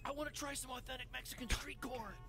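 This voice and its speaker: A man playing a glib, lazy, excitable wrestling promoter sidekick in a video game cutscene. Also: very high-pitched, quick pace, faint music behind, exaggerated voice. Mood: carefree.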